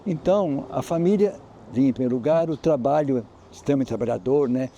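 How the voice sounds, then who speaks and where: Dark-voiced Brazilian man, halting storyteller. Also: mid-range voice, slight background noise. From Brazil, Espírito Santo. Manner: rambling, halting with restarts. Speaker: local historian guide. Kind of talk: on-site interview, explaining history.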